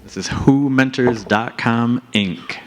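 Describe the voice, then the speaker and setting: A man emceeing a hackathon, announcing the teams briskly. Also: mid-range voice, noisy background. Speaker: event emcee. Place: conference stage.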